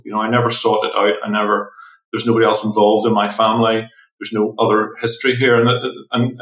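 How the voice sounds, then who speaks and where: A middle-aged man from Belfast with a Northern Irish accent, telling his story as an interview guest, rambling and restarting his sentences and trailing off softly. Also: lowish voice. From Northern Ireland. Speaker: watchmaker guest. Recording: echoey room.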